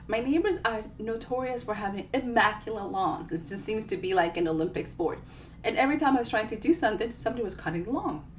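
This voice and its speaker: A woman author hosting a casual live book reading, chatting to viewers in a playful, self-deprecating way. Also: fairly high pitch. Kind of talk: casual livestream chat to viewers. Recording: distant mic with clear background noise.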